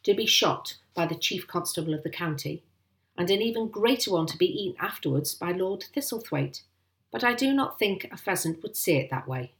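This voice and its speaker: A woman voicing a calm, sly father in a storybook, soft-spoken, unruffled and mock-earnest. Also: on the low side, normal speed. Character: calm, sly, twinkly father, adult man.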